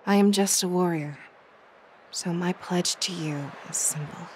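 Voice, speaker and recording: A woman voicing a resolute warrior general, low and measured. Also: fairly even intonation, background noise, lightly acted. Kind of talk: game dialogue.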